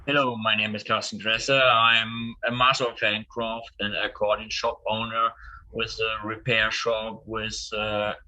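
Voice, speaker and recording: Male craftsman, German accent, low even pitch, forceful bright tone. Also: unhurried, highs cut off. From East Germany. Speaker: accordion craftsman. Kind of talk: self-introduction on a webinar.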